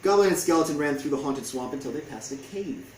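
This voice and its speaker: A man's voice: fairly high pitch, animated, fast. A man reading a picture book aloud to an audience as its author, in storytelling style. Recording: echoey room, distant mic, faint noise.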